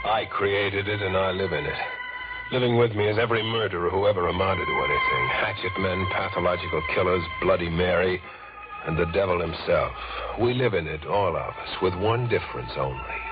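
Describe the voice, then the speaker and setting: A man's voice: sits low, moderate pace, close to an everyday voice. A man playing a strange, coolly sinister young wax-figure maker, narrating in vintage radio drama style. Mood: darkly proud. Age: young adult. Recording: dull vintage radio recording with music.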